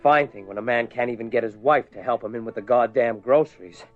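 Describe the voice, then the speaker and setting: A man's voice: medium pitch, a little fast, soft background music, lightly acted. A man playing an exasperated, short-tempered husband in a radio horror play, blunt and argumentative. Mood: exasperated, angry. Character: exasperated, short-tempered husband, worried about his obsessed wife. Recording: dull lo-fi radio recording.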